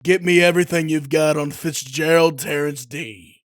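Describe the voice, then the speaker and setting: A man acts out a gruff, sardonic detective in a comic book audio drama. His voice sits fairly high, and he talks in blunt streetwise wisecracks over faint music. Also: steady tone, moderate pace, dry recording, close to an everyday voice. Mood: brusque, sardonic. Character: gruff, wisecracking, world-weary male police detective. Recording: music behind the voice.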